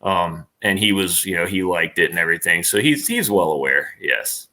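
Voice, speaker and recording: A man's voice: low-pitched, animated, normal speed, bright, forceful tone. A man talking casually as an interview guest, rambling and enthusiastic in a slangy way.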